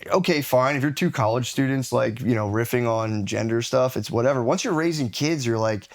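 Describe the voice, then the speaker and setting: An American man in middle age talks in a loose podcast chat. His pitch sits in an ordinary middle range. He hedges as he goes and tends to undercut himself. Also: brisk. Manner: conversational, hedging, self-deprecating. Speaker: podcast guest. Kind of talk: casual chat.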